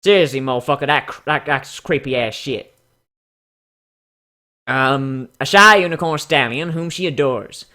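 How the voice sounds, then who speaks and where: A man's voice: medium pitch, unhurried, long pauses, sharp tone. A man doing a sarcastic, profane read-aloud with casual commentary, mocking and theatrical. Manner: swears freely, mocking and theatrical. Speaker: host of a dramatic reading show.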